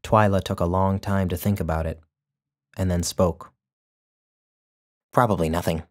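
Deep-voiced man reading a story. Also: fairly even intonation, moderate pace. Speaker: author reading his own story. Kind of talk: literary short story narration.

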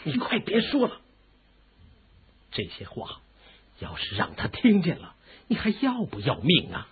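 An actress playing a frightened, cautious palace sister in a radio drama, anxious and pleading. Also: female voice, low voice, wildly swooping pitch, unhurried, heavily acted. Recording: muffled, tinny phone-like radio drama recording.

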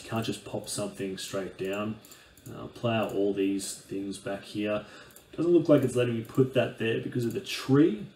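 A man in his thirties talks through a game in a relaxed, chatty way, often restarting his sentences. His voice sits a bit low. The small room around him gives a noticeable echo. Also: slight background noise. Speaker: game reviewer. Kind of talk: casual game review walkthrough. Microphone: desk microphone on stand.

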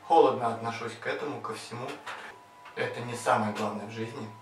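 Calm guarded young man, echoey room. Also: medium pitch, brisk, recorded from a distance, slight background noise. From Russia. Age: 20s. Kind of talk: sit-down interview answers. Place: indoors against a plain wall.

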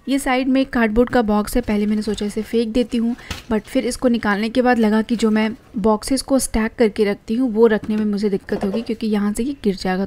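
A woman's voice: a little high, dark tone. A young Indian woman narrating her home cleaning vlog, chatty and explaining household tips step by step. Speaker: home vlogger.

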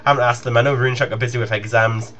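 A man's voice: medium pitch, steady tone, very fast, fairly bright tone. A young man making a casual, rambling announcement to his viewers as a gaming YouTuber. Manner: informal, rambling. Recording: muffled lo-fi home recording with noise.

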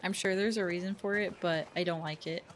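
A woman's voice: on the low side, fairly even intonation, fairly quick, a little hiss or hum. A woman giving playful, chatty, casual commentary as she plays a game. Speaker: gaming streamer. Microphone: dynamic microphone up close. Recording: game ambience behind commentary.

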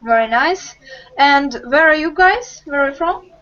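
A young woman teaching an online English lesson, asking questions slowly and patiently over a webcam video call. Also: high-pitched, animated, fairly bright tone, a little hiss or hum. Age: young adult. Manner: slow, patient, encouraging questions. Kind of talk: online group language lesson. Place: home room with bookshelves. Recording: muffled video call audio.

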